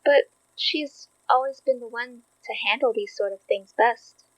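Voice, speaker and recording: A woman's voice: a little high, unhurried, no room sound, rough recording. A woman acting an earnest, careful, slightly anxious researcher in a naturalistic audio drama. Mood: worried.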